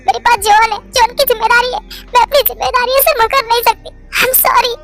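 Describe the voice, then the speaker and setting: A torn, anxious young mother who stammers and keeps apologizing, as a reader voices her. Her voice is very high and bright, with soft music playing underneath. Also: steady tone, brisk. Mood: tearful. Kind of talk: storybook drama reading.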